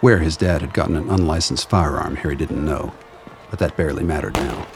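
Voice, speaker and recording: A man delivers scripted dramatic narration in an extremely low, gravelly voice. The storytelling is measured and ominous, with faint ambience underneath. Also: a little hiss or hum. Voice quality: deep, gravelly.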